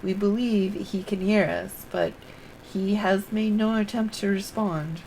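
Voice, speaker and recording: An earnest young male scholar from a fantasy game speaks in a formal, composed way, read aloud by a woman in a near-everyday voice. The delivery stays plain rather than acted. A touch of gravel sits in the low notes. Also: steady tone, measured pace, a little mellow, slight background noise, rough recording. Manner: reads dialogue aloud in a plain voice.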